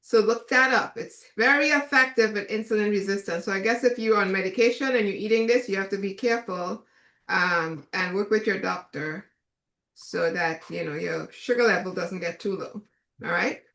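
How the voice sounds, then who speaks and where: A Trinidadian woman chef giving a relaxed, chatty cooking demonstration, with many fillers. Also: mid-range voice, moderate pace, echoey room.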